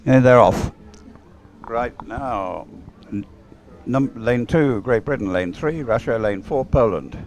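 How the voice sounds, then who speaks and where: A man's voice: medium pitch, takes it slowly, darkish tone. A man giving live, unscripted sports commentary on a race in an informal, hesitant way, as if thinking aloud.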